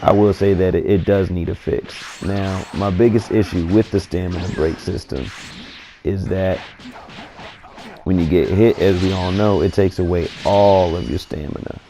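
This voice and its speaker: A man getting over a cold, his voice scratchy and gravelly, giving laid-back, opinionated commentary on a video game. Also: sits low, steady tone, moderate pace, warm tone, faint music behind. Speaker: gaming commentator. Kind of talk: casual gaming commentary.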